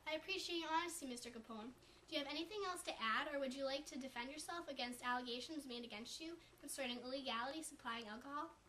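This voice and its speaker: A woman's voice: sits high, fast talker, pushed and bright, a little hiss or hum. A woman playing a polite, probing news interviewer in an amateur mock 1920s broadcast, with formal scripted delivery.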